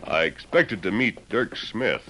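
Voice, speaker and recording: Very deep, stern masked cowboy hero. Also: male voice, expressive, measured pace. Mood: guarded. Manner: terse, firm, authoritative. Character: masked male western hero, stern and guarded. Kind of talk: old-time radio western acting. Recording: noisy, clicking vintage radio recording.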